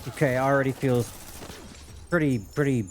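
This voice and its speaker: Mid-pitched man giving casual reaction commentary. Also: steady tone, normal speed, slight background noise. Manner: tentative, thinking aloud. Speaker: gaming reaction video host.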